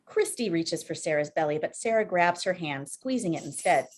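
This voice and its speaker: A woman's voice: fairly low pitch, expressive, normal speed. A woman reading scripted stage directions as narrator at a table read over video call.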